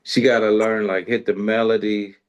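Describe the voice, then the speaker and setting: A man's voice: lowish voice, little pitch movement, normal speed, smooth, highs cut off. A man in casual conversation about music as a show host, using informal, colloquial phrasing.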